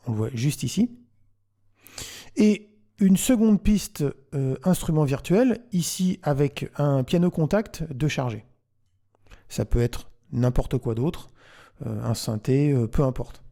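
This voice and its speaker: A man narrating a software tutorial, explaining things step by step as the host. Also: fairly high pitch.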